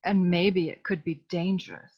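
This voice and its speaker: A woman's voice: on the low side, normal speed, breathy edge. Woman playing a coy femme fatale, dull recording. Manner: coy, suggestive, deadpan. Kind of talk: comic noir radio play acting.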